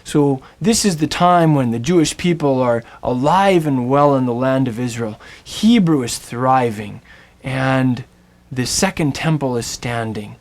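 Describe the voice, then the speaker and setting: Man teaching with slow dramatic pauses. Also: mid-range voice. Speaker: Biblical Hebrew teacher. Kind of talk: scripted storytelling lesson.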